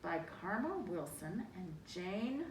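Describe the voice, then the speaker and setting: Expressive, slow-paced woman reading a picture book. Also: lowish voice. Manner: expressive, dramatic emphasis with asides to young listeners. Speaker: storybook reader. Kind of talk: children's picture book read-aloud. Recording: roomy, distant mic, faint noise.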